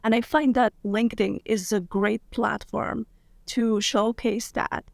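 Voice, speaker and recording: Gravelly-voiced woman lawyer guest, dry sound. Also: on the high side, steady tone, a little slow, no echo. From Morocco, grew up in Spain. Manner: upbeat, candid, laughs easily. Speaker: guest, international business lawyer. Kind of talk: casual interview conversation.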